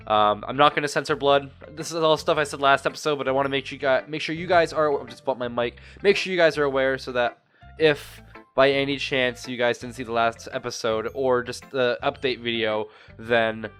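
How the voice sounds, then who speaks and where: A man's voice: mid-range voice, fairly bright tone, clear, resonant tone. Guy doing a casual let's play, rambles and rephrases a lot, music behind him. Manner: rambling, often loses his thread and rephrases. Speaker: gaming video host.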